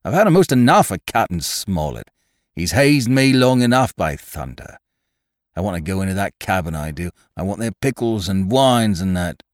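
Man voicing grumbling pirate coxswain, growling, low. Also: very animated, normal speed, no room sound, clean studio sound. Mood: impatient.

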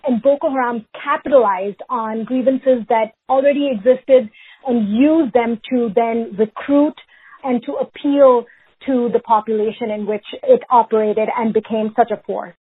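A woman from Pakistan, a researcher answering interview questions in a measured way, often hedging with "sort of" and "if you will". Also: on the high side, fairly even intonation, husky. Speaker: researcher and guest. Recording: phone-like remote line.

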